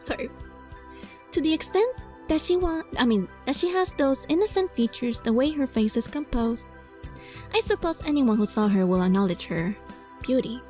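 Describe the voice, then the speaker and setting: A woman playing a visual novel, reading it aloud dramatically and reacting casually in an animated, playfully exasperated way, sometimes stumbling over words. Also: sits high, moderate pace, soft background music.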